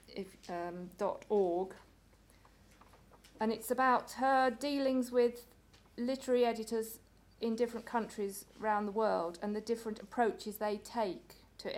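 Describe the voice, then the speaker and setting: A translator speaking on a panel in a measured way, with occasional stumbles and repeated words. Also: female voice, medium pitch, a little mellow. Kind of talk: panel talk.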